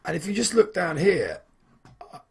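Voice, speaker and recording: A young man with a husky, hoarse voice, chatting casually in a weekly trading vlog, rambling with many fillers and restarts. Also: sits high, sing-song, normal speed, a little mellow. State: hoarse from shouting at football. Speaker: trading vlogger.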